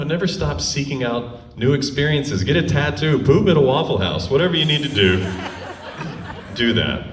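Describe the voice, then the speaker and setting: A forty-year-old man telling comic stories to a live audience in a wry, self-deprecating way, often laughing as he talks. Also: low voice. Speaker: touring performer telling stories on stage. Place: live stage show before an audience. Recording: roomy live stage, distant mic.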